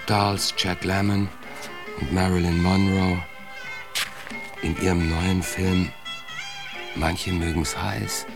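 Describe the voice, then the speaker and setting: An actor playing a skeptical, puzzled man in a German radio drama, terse and pensive. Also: low-pitched.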